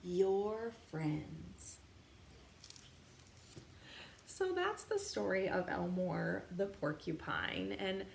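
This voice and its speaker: Expressive woman reading aloud in an echoey room. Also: mid-range voice. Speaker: children's story reader, teacher. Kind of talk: expressive read-aloud for young children. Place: indoor room. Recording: roomy echo, clear background noise.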